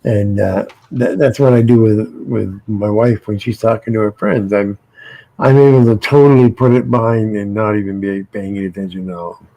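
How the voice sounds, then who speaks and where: A man's voice: lowish voice, steady tone, normal speed, gravelly, mellow, no room sound, rough recording. A middle-aged American man, co-hosting a casual panel chat, rambling and repeating his words. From United States. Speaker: co-host.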